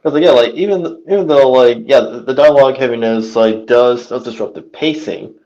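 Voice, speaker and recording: A man's voice: medium pitch, brisk, a little mellow. A man in his twenties in a casual comics chat, hesitant and full of fillers. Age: 20s. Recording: dull lo-fi audio.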